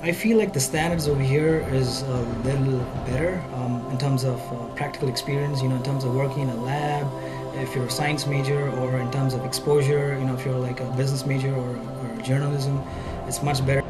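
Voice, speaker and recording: A young man speaks in an easy, chatty way at a middle pitch, often saying "you know". He sits close to the camera in a small room. Loud music plays under him. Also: fairly even intonation, brisk. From India. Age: 20s. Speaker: interviewee, student. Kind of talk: casual interview answers. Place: small plain indoor room.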